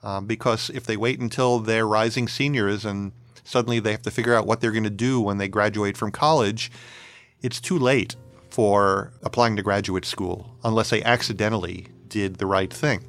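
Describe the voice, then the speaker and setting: A man's voice: on the low side, fairly even intonation, normal speed, fairly bright tone. A man giving an interview answer in a thoughtful way, repeating words as he works out his point.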